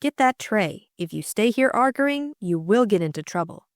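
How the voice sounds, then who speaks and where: A woman's voice: mid-range voice, expressive, no room sound, studio quality, voice pushed up, natural voice. Woman playing a bossy, determined young lady sleuth. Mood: bossy, urgent. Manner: brisk, commanding, unflustered.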